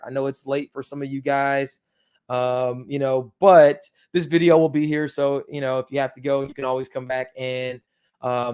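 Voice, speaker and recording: A man's voice: mid-range voice, a little mellow, clear, resonant tone. A man hosting a casual tutorial for his viewers, his speech slightly thickened by dental aligners, rambling with many ums and you-knows. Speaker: crypto channel host.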